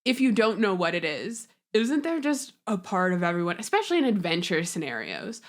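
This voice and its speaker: A woman's voice: medium pitch, very animated, fairly quick. A woman chatting casually on a podcast, with a playful, slangy, irreverent way of talking. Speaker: podcast panelist. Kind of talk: casual chat.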